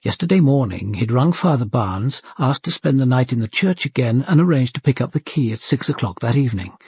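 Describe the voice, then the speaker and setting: A British senior detective, very husky with a gravelly creak. He is composed and authoritative, narrating and giving orders matter-of-factly in a dry radio drama recording. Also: medium pitch, animated, a little mellow, no echo. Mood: measured, reflective. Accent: British English. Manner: measured, matter-of-fact.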